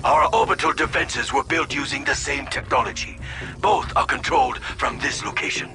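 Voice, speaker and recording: A man's voice: medium pitch, moderate pace, natural voice. A man voicing a dutiful, resolute alien military commander with formal manners in a video game cutscene. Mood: formal, proud. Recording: loud music behind the voice. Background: loud music.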